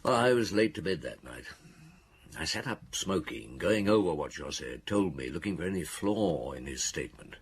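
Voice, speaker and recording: An actor playing a seasoned, methodical chief inspector in a radio drama, speaking in a measured, dry, authoritative way. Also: male voice, deep voice, animated, slightly rough, heavily acted. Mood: reflective. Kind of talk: radio drama acting, narrating a case to a friend.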